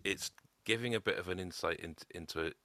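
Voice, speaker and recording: Stammery guy, low voice but bright, really dry sound, keeps stalling on words. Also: no room sound. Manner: stammering, halting speech. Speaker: podcast guest. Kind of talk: personal testimony.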